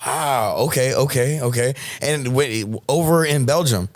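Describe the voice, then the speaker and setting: A man hosting a casual interview, upbeat and affirming, tossing in quick slang interjections. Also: mid-range voice, no room sound. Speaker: interviewer, host.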